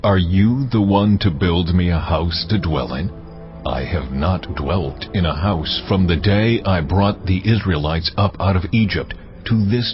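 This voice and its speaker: The almighty lord, slow measured decrees, muffled recording, music behind. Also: male voice, deep voice. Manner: measured, authoritative declaration. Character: God, solemn almighty Lord giving decrees. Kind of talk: dramatized scripture reading. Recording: muffled, band-limited audio.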